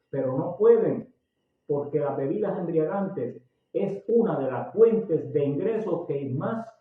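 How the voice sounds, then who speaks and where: A man in his sixties from Puerto Rico with a Puerto Rican accent, preaching a sermon emphatically, getting loud and slowing down on key words. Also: fairly high pitch. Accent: Puerto Rican Spanish. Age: 60s. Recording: tinny, echoey, distant recording.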